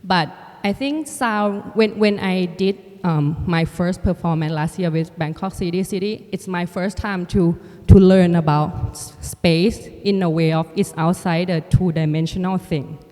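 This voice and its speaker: A Thai woman artist and filmmaker, a native Thai speaker, talking reflectively in a halting way with many restarts and fillers. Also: on the low side, dark tone. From Thailand. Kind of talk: reflective conversation. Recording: hall with long reverb.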